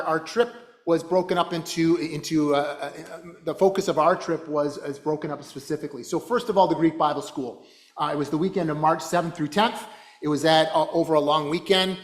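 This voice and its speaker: A man's voice: on the high side, a bit bright. A man giving an informal slide talk to a church class, chatty, with many fillers and restarts. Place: church hall.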